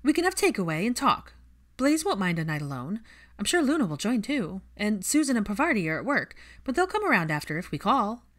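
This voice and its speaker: A woman voicing an upbeat, sociable young witch in an audiobook, brisk and teasing. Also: mid-range voice, big pitch swings, pitched above the performer's own voice, close to an everyday voice. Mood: eager. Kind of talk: audiobook character voice.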